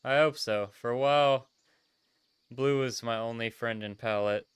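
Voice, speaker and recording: A man's voice: medium pitch, a little slow, clear, resonant tone, lightly acted. A man voicing an earnest, sociable but self-doubting young trainer in a visual novel, casual and hesitant. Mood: vulnerable. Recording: music behind the voice.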